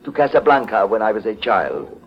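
An actor playing a courteous, grave Frenchman with a French accent, formal and slightly halting, in 1940s radio style. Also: fairly low pitch, sing-song, husky. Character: courteous, grave Frenchman raised in North Africa. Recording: dull vintage radio recording.

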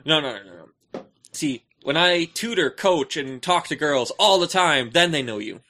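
A young adult man co-hosting a podcast, bantering casually in an animated, teasing way. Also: fairly high pitch, moderate pace. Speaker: podcast co-host.

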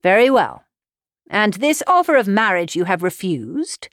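A woman reader plays a middle-aged father from a classic novel. He is unruffled and sardonic, speaking in formal, measured phrases with a deadpan edge. The recording is dry and free of room sound. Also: medium pitch, no room sound. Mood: mock-stern. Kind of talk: audiobook character voice, classic novel.

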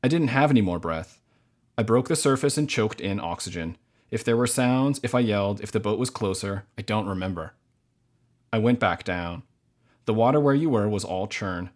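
A man speaking as a novelist guest in a measured, thoughtful way. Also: fairly low pitch, expressive, darkish tone. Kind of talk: literary reading and thoughtful interview answers.

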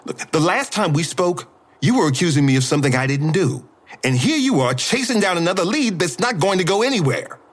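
Defiant touchy artist man, highish voice, really up and down, curt and combative, audio drama. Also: lively intonation, fairly quick. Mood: defensive. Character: defiant, irritable male mural artist.

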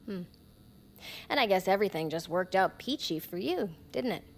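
Interrogator, female: skeptical official, mid-pitched, pointed and sardonic, deliberate phrasing, audio drama. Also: animated. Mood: sardonic, accusing.

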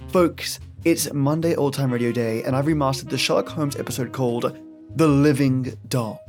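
A man's voice: mid-range voice, normal speed, a little mellow. Man hosting over guitar music. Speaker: podcast host. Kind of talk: casual scripted introduction.

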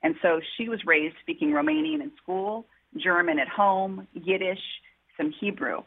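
A woman's voice: mid-range voice, big pitch swings, a little slow, vocal fry, husky. A woman author answering interview questions, telling her story in an earnest, heartfelt way. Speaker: author guest. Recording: tinny phone-line audio.

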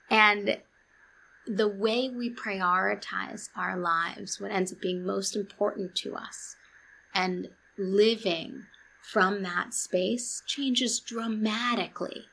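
A calm, earnest woman speaks in a reflective interview, searching for words and circling back to her point. Her voice is bright and forceful, with a gravelly fry at the ends of phrases. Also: medium pitch, poor audio. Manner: earnest, searching, restarts and quotes books. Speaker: guest, therapist.

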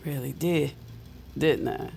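A woman playing a weary, secretive witch in an audio drama, sounding breathy and exhausted. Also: sits low, takes it slowly, a little mellow, a little hiss or hum, heavily acted. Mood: drained, wry. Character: weary, secretive witch, the hero's loyal friend. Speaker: supporting actor. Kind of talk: audio fiction acting. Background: music.

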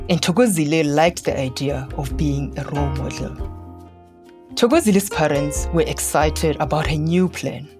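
A woman with a fairly low voice narrates a children's story over clear background music. Her delivery is scripted and measured, with deliberate pauses between phrases. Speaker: podcast narrator.

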